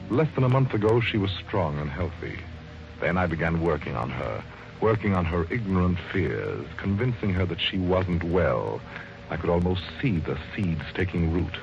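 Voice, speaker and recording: A cold-blooded wife-murderer who narrates his crime in a calm, chilling, very deep voice. Music plays clearly beneath him on a muffled vintage radio recording. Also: moderate pace, full character voice. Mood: gloating. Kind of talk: 1940s radio acting.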